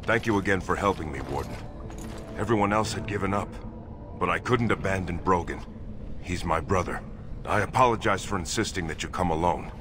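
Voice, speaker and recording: A man playing an earnest, grave dwarven nobleman in a fantasy game, speaking in a solemn, formal, measured way. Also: sits low, noisy background, close to an everyday voice. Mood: earnest, determined. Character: earnest, grave dwarven nobleman seeking his lost brother. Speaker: video game character narrating and in dialogue. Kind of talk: dramatic scripted game dialogue and narration. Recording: game audio under loud music.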